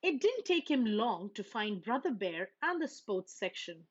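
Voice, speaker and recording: Animated, high-voiced woman reading a storybook. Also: a little slow. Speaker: storybook reader for schoolchildren. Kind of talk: expressive children's read-aloud narration. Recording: dull, lo-fi sound.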